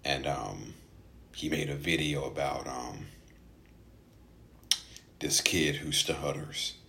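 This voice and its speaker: A man who stutters, speaking casually in a halting personal monologue with frequent gaps and hesitations, as a podcast host. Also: extremely low pitch, gravelly, pushed and bright.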